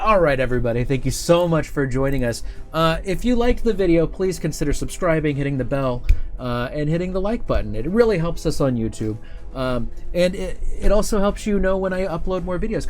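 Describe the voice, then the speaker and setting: Man hosting casually over faint music. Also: on the high side. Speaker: host of a company livestream. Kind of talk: casual chat, hosting a talk show.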